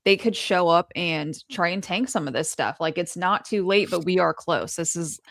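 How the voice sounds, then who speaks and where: Woman, gravelly lowish voice, casual stream chat, dry close mic. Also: normal speed, fairly bright tone, a little hiss or hum. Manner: loose, laughing, filler-heavy. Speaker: stream co-host.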